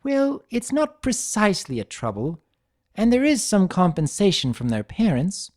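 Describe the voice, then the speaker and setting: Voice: mid-range voice, sing-song, moderate pace, a little mellow, dry recording, clean studio sound, pitched above the performer's own voice. A performer voicing an elegant, proud and guarded female unicorn pony in a cartoon fan story, with refined, theatrical and precise diction. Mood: defensive.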